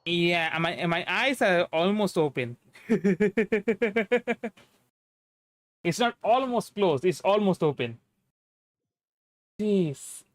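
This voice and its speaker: A man's voice: high-pitched, expressive, slow. A man giving casual gameplay commentary as a streamer, muttering to himself in short phrases. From India. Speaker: streamer, virtual avatar host. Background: faint game music.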